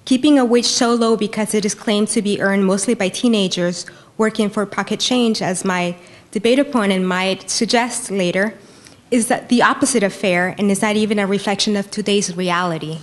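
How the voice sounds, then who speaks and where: A woman's voice: mid-range voice, moderate pace. A woman from Peru giving a prepared debate speech as a debater, earnest and emphatic, stressing key phrases. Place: university lecture hall.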